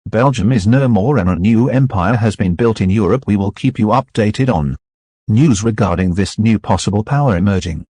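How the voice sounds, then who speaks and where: A man's voice: lowish voice, moderate pace, dark tone, breathy edge, no echo. A man playing a sober news anchor reading a scripted breaking-news bulletin. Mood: grave.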